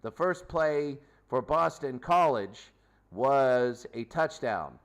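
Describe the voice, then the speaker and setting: A man's voice: mid-range voice, expressive, slow pace. A man hosting a sports show, recapping a game off the cuff in clipped runs of stats, repeating phrases for emphasis. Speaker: sports show host, commentator. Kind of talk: unscripted game recap commentary.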